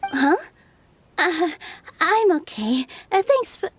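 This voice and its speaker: A woman playing a gentle, polite, slightly hesitant young woman in an anime game. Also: very high-pitched, big pitch swings, slow, slight background noise, full character voice. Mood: friendly. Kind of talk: anime game dub dialogue.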